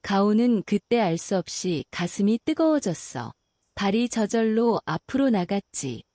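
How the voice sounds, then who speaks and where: Calm woman reading a kids bedtime story, warm soft tone, dry close mic. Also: mid-range voice, dry recording. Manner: slow, soothing and gentle.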